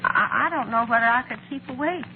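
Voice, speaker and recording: An actress playing a kind, tired office secretary in an old-time radio drama, sympathetic and gently scolding. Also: female voice, fairly high pitch, a little fast, close to an everyday voice. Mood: tired, tender. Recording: muffled, noisy old radio recording.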